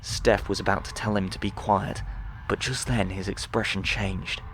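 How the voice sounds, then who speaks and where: A man's voice: fairly low pitch, fairly even intonation, moderate pace, a bit bright, slight background noise. A man narrating a dramatic sci-fi audiobook from a script.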